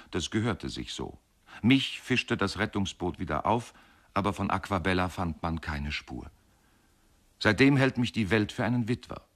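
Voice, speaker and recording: An actor playing a wry widower in a German radio play, telling his story with deadpan irony. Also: low-pitched, exaggerated voice. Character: wry widower calmly recounting his goddess wife's vanishing.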